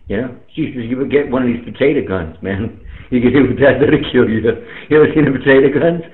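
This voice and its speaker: A man's voice: mid-range voice, a little fast. American guy on ham radio, streetwise and emphatic, repeats himself, roomy echo and phone-thin sound. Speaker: amateur radio operator in a round-table chat. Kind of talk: casual chat over the radio. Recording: tinny, echoey radio transmission.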